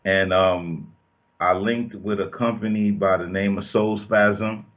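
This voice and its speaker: Man, 40s, rapper guest, low voice, laid-back slang, muffled call audio. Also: steady tone, slow pace, a bit bright. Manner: laid-back, slangy, speaks in rhymes and sayings. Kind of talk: casual interview chat. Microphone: video call. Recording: dull lo-fi video call audio.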